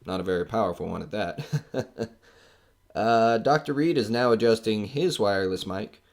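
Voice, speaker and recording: A man's voice: lowish voice, lively intonation, moderate pace. A man acting in an audio horror drama as a skeptical, wisecracking radio man narrating a ghost hunt in a casual, hesitant way. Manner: casual, flippant, hesitant filler-strewn delivery. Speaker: host of a ghost hunt recording.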